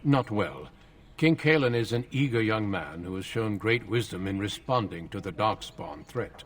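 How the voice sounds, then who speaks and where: A solemn warrior recruiter from a fantasy game. The voice is low, courteous and formal, with measured phrasing, heard a little away from the mic. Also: male voice, lively intonation, far from the mic.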